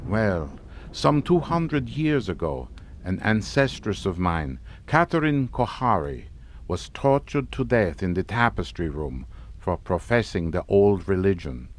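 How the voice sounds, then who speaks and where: An actor playing a courtly, grandiose and mockingly amused Hungarian count in a suspense audio drama. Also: male voice, low voice, big pitch swings, a little slow, a little hiss or hum. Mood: grandly sinister. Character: sardonic, theatrical Hungarian count, host of a chateau.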